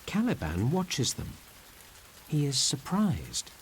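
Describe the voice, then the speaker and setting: Slow, animated male storyteller. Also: on the high side, slight background noise, poor audio. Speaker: storybook narrator. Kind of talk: slow read-along storytelling for beginners.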